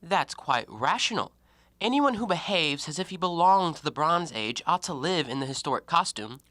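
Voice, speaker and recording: A man acting in an audio play as an educated, coolly logical, self-righteous man who argues scornfully in precise, deliberate diction. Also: on the high side, sing-song, sharp tone, no echo.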